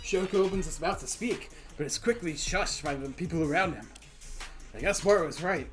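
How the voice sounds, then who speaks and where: Low-voiced woman narrating over game music. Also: animated, fairly quick. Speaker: streamer reading a visual novel aloud. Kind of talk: playthrough narration with casual reactions.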